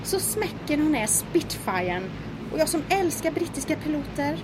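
A clever young engineer who talks in a knowing, eager way. Her voice sits a little high, and a steady engine noise runs behind her. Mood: delighted. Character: clever young Swedish woman engineer, spirited. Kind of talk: audio drama acting.

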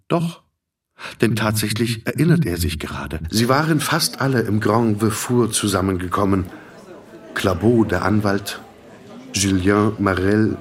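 Lowish-voiced man narrating a literary drama. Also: distant mic.

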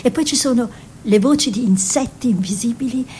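A woman's voice: a little high, fairly quick, dark tone, natural voice. Actress as a dreamy peasant-born woman painter, noisy recording. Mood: wistful. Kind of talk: theatrical radio drama monologue and dialogue.

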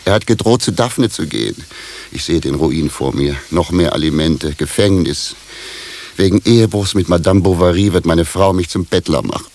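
Gravelly, very deep-voiced anxious husband. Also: male voice, moderate pace, darkish tone. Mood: panicked lament. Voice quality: gravelly, husky. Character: self-pitying, lovestruck married professor, anxious and scheming. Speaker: radio drama actor. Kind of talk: radio comedy drama acting.

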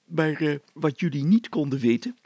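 A man voicing a chatty, excitable, giggly mayor who stammers with enthusiasm in a children's story. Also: on the high side, normal speed, slightly rough, dry recording. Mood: excited.

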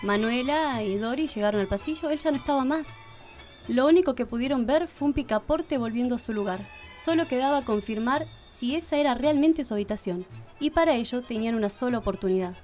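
A woman's voice: a little high, animated, a little fast, a little mellow, soft background music. A woman with an Argentine accent reading scripted narration for a radio play.

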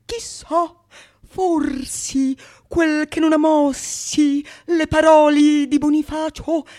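A pining, foolish male lover alone on stage. The voice is very high and moves at a heavily drawn-out pace. The delivery is declamatory and brooding, in ornate old theatrical Italian. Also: dark tone. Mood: brooding, yearning. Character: lovesick, foolish man pining and scheming with magic. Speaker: stage actress. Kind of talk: theatrical soliloquy in archaic literary Italian.

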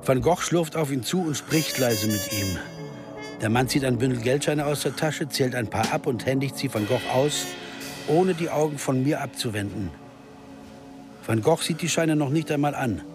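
An actor playing a sober, observant pianist sleuth, telling a radio crime story in an understated, deliberate way. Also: male voice, medium pitch, music in the background, lightly acted. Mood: brooding, observant. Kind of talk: first-person radio crime narration and dialogue.

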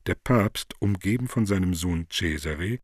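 A man's voice: deep voice, expressive, unhurried, no room sound, studio quality. A man narrating the stage directions of a radio play from a script.

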